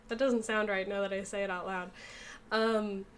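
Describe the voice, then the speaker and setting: A woman's voice: mid-range voice, steady tone, measured pace, slight background noise. A young woman giving rambling, casual gameplay commentary, a bit nasal and still getting over a cold. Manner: rambling, chatty, lots of fillers. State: recovering from a cold, slightly nasal. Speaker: gaming YouTuber.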